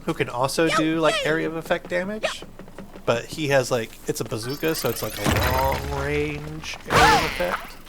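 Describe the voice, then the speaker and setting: A man's voice: fairly high pitch, wildly swooping pitch, normal speed, heavy background noise. A man hosting a gaming video, giving casual, enthusiastic gameplay commentary in an informal, explaining way. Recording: game music behind the voice.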